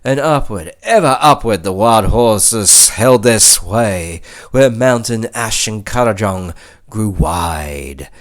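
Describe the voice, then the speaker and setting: A low-pitched Australian man recites poetry with theatrical flair. His delivery is slow and his pitch moves widely, swelling on key phrases and sinking to hushed endings. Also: lively intonation. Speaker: poetry reader. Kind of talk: dramatic recitation of a bush ballad.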